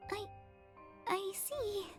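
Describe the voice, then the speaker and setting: A shy, anxious young girl in a visual novel. Her voice is extremely high, and she talks slowly, stumbling over words in polite, apologetic hesitation. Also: over music, full character voice. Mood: flustered, nervous. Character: timid, nervous, polite young girl newly arrived. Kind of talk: visual novel character acting.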